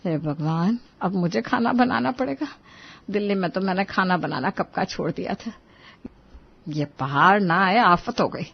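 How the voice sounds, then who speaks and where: A woman's voice: on the low side, lively intonation, a little slow, gravelly, slightly rough, lo-fi sound, exaggerated voice. A woman voicing a cranky, complaining older mother-in-law in a moral-story cartoon, scolding and grumbling with exclamations.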